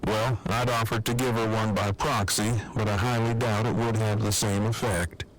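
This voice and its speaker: Man playing a low-voiced, stiffly formal male survivor. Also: steady tone, moderate pace, soft background music, low-quality recording. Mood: reassuring, measured. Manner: stiff, verbose, precise diction. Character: formal, long-winded, earnest male survivor. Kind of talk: fan audio drama acting.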